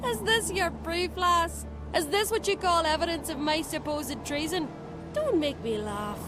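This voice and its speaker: A woman's voice: very high-pitched, measured pace, over music. An actress playing a haughty, scheming middle-aged noblewoman in a fantasy game, speaking with imperious scorn. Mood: mocking, commanding. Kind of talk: dramatic fantasy game acting. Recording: dramatic score behind dialogue.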